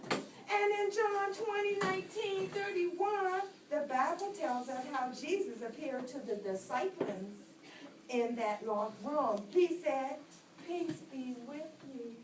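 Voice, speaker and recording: A woman's voice: high-pitched, slow pace. A woman voicing a perky, earnest female puppet in a children's show, with exaggerated, preachy delivery. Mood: earnest storytelling. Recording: distant, echoey room with clear noise.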